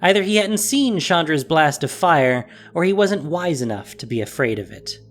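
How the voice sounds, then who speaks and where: Expressive male story narrator. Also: a little high, moderate pace. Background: music.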